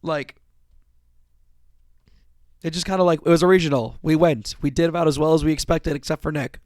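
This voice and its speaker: A man's voice: a little high, fairly even intonation, a little fast, darkish tone, dry recording, studio quality. A man hosting a casual podcast with a sore, worn-out voice, rambling, swearing casually and restarting his sentences. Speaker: podcast host.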